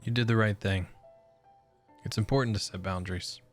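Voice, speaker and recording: A man's voice: on the low side, fairly even intonation, normal speed, faint music behind. A man giving laid-back, slangy reaction commentary as a gaming streamer, close on the microphone. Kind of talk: casual gameplay reaction commentary. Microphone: close microphone, voice-over on game audio.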